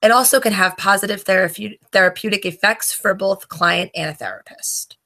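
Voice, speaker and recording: A woman's voice: mid-range voice, a little fast, sharp tone. A woman from New Jersey, a student debater reading a prepared presentation from notes, stumbling and restarting words now and then. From New Jersey, USA.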